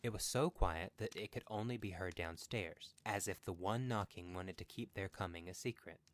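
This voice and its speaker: A man's voice: low voice, moderate pace. A man reading a horror story as scripted narration for a podcast.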